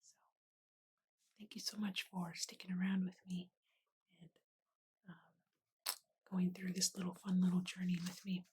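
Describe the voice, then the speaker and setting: A woman softly narrates her doodling in a breathy, unhurried ASMR whisper. Her low voice stays flat and soothing throughout. The close desktop microphone carries clear background noise, with small clicks and writing sounds. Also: poor audio. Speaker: ASMR artist and host. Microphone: USB desktop microphone.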